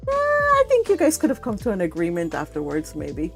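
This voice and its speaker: A woman's voice: on the low side, huge pitch swings, fairly quick, creaky, over music. A woman hosting a read-along stream, chatting casually and rambling with frequent laughter. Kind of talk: casual chat with participants.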